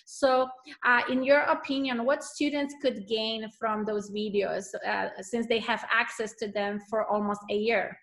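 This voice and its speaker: A woman's voice: on the high side, steady tone, measured pace, fairly bright tone. A woman with a Polish accent hosting a video-call interview, asking polite, appreciative questions. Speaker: interview host.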